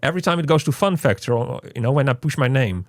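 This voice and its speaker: Animated man chatting through a game review. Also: medium pitch, fast talker, no echo, studio quality. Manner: animated, rambling, repeats "you know". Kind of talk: casual conversational game review.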